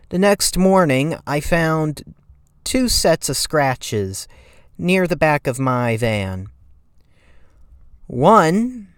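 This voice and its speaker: A man playing a timid, haunted narrator in a dramatic horror story reading, with a shaky, halting, stammering delivery. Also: a little high, dry recording, studio quality. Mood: shaken. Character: timid, shaky, haunted narrator recalling a frightening night drive. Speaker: creepypasta reader.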